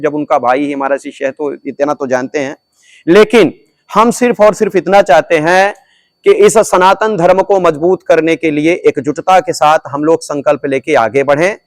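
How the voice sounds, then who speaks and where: A man with a high, ringing voice gives a sermon-like answer to an interviewer and hammers his points home through repetition. The sound is dry and close, with no room around it. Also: no echo. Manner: declamatory, repeats phrases for emphasis. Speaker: religious guru being interviewed.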